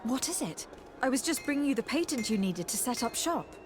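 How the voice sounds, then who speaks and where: A woman's voice: on the high side, sing-song, brisk, faint music behind. A woman playing a composed, courteous noble diplomat in a video game, speaking politely and formally. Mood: composed, concerned. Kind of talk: video game dialogue acting.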